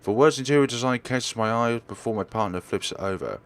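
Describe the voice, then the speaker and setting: A man reading visual novel text aloud as a let's player, expressive and occasionally stumbling over his words. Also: mid-range voice, fairly quick. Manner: expressive, stumbles and corrects himself while reading.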